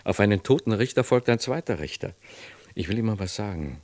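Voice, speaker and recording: An actor playing a cold, patronising corrupt official with a condescending, measured manner in a radio play. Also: male voice, fairly low pitch, expressive, a little mellow, dry recording. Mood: cold, calculating. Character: calculating, corrupt high official, coldly patronising. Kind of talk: German radio play dialogue.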